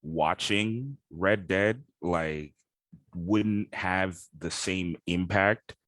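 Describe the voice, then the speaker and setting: Male podcast co-host, deep-pitched, dry studio-like sound, casual and conversational. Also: very slow, a bit bright, dry recording. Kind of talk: casual discussion about gaming.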